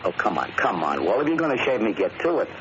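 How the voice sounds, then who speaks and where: A dull, faintly noisy old radio recording carries a tough male gangster in hiding. He is gruff and blunt, bossing in slangy phrases with a fairly low voice, in 1940s radio drama fashion. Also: sing-song, fast talker. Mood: impatient, joking.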